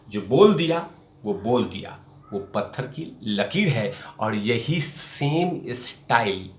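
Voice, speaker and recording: Man, fairly low pitch, emphatic news commentary host, repetitive phrasing, echoing room sound. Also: fairly bright tone. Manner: emphatic, repeats phrases for effect. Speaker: host of a political commentary show.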